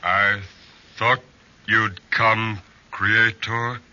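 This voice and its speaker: Slow, forceful man-made monster. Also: on the low side, little pitch movement, slight background noise, heavily acted. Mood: pleading, wounded. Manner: slow, halting, deliberate phrases. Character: lonely, pleading, hideous man-made giant male monster. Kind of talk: old-time radio horror acting. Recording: dull old radio broadcast recording.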